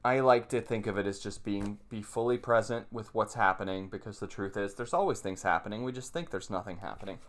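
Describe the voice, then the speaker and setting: A man giving informal spoken instruction as a video host, plain-spoken and halting, repeating phrases as he explains and reads passages aloud. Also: on the low side. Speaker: meditation teacher, video host. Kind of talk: informal teaching commentary with read-aloud passages.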